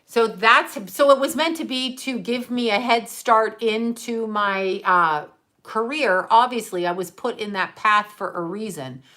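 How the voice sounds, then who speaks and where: A woman's voice: on the high side, unhurried, pushed and bright. Middle-aged woman, live-stream host, casual rambling storyteller who chats with viewers. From Canada. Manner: rambling, chatty, answers viewer comments mid-story. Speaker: livestream host.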